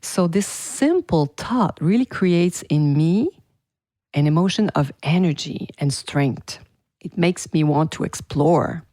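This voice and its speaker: Low-voiced French woman, chatty podcaster. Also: lively intonation, takes it slowly, dark tone, no echo. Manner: enthusiastic, chatty, addresses listeners as friends. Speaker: podcast host and PR teacher. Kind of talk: casual solo podcast talk.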